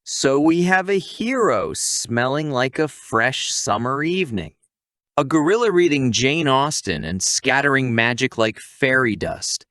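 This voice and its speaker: A man's voice: medium pitch, fairly bright tone, no room sound, studio quality. A man narrating a scripted comic story recap in a conversational, wisecracking way, with asides to the listener.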